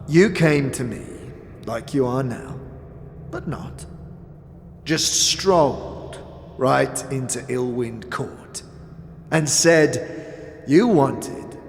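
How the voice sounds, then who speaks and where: A withered old collector lord from a fantasy audio drama, cajoling and bitter. He drags his words out very slowly in a gravelly, creaking voice that swings widely in pitch, with reverb around it. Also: slight background noise, heavily acted. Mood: cajoling, sly. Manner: theatrical, halting, drawn-out phrasing with muttered asides. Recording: roomy reverb, music behind.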